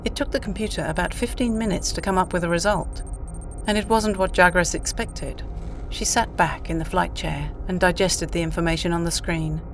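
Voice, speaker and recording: A woman's voice: on the low side, fairly even intonation, moderate pace, rough recording. A woman reading scripted story narration for an audio play. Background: music.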